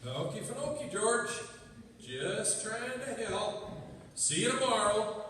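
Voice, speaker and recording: A man's voice: sits high, big pitch swings, takes it slowly, fairly bright tone, heavily acted. A man playing a folksy, self-important small-town sheriff in a live radio play. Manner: folksy rustic grammar. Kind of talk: live radio theatre acting. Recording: echoey noisy hall, distant mic.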